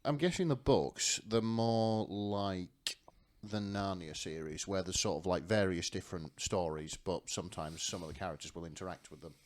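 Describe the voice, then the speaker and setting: A man's voice: low voice, normal speed, few pauses. A man co-hosting a podcast, chatting casually with a dry, wry sense of humour. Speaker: podcast co-host. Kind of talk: casual banter.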